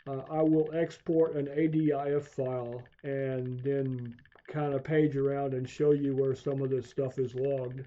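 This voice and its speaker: Guy doing a software demo, really dark mellow voice, thinks out loud, kinda muffled lo-fi recording. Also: mid-range voice. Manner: halting, thinking aloud as he works. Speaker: software demonstrator. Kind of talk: unscripted screencast tutorial. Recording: dull, lo-fi voice recording.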